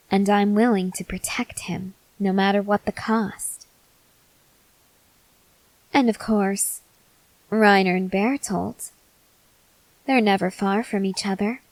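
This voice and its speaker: Woman acting as possessive female vampire, calm, seductive, unhurried delivery, softly stern, close dry sound, ASMR roleplay. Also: medium pitch, no echo, poor audio. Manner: slow, deliberate, softly stern, at times whispering.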